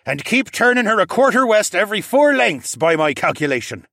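A commanding raccoon pirate captain from an audiobook, acted out by a man. The voice is raised well up in pitch, theatrical and bold, and it delivers orders with bellowing emphasis in a very dry recording. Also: very animated, fairly quick, gravelly, bright, forceful tone, no echo, heavily acted. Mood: firm, authoritative. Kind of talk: audiobook character acting.

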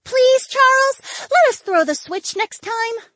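A man voicing an excitable, playful young feline woman with gushing, girlish delivery in a storybook. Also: very high-pitched, slow, dry recording, studio quality, pitched above the performer's own voice, heavily acted. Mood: excited, playful. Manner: gushing, girlish, squealing exclamations. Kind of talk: audiobook character dialogue.

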